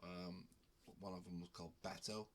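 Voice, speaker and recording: A man's voice: low-pitched, level tone, moderate pace, slight background noise. A man with a cold hosting his betting video, talking casually with frequent ums and restarts. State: has a cold. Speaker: betting channel host. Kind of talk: casual talk to camera.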